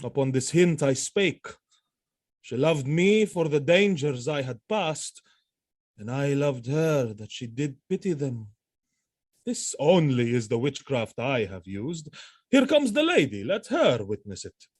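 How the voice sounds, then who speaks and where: An actor playing a proud, ardent general in love, stately and fervent, in a Shakespearean audio drama. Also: male voice, mid-range voice, very animated, a little slow, a little mellow, no room sound, clean studio sound. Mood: tender, proud.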